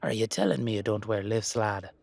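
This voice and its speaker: A man's voice: lowish voice, fairly quick, gravelly, darkish tone, faint music behind. A man voicing a wry, blunt leprechaun with an Irish accent, dry and colloquial, with a hint of menace. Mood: teasing.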